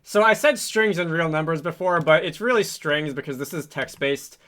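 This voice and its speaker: A man hosting a casual coding tutorial, thinking aloud in a halting, improvised way with frequent hesitations. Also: a little high, pushed and bright. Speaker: tutorial host, game developer.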